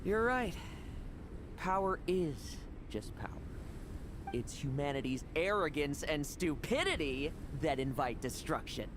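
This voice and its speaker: A cocky young knight from an anime-style game. The voice is mid-pitched and lively, teasing and nonchalant, with faint noise on the recording. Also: unhurried. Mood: defiant, resolute. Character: cocky, defiant young knight, wry and easygoing.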